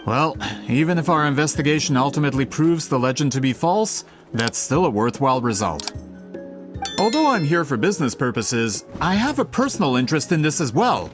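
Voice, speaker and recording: An actor playing a courteous, scholarly gentleman in a video game, speaking formally and earnestly. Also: on the high side, very animated, fairly quick, music in the background. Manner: formal, polite, eloquent. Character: courteous, scholarly gentleman client, earnest about historical truth.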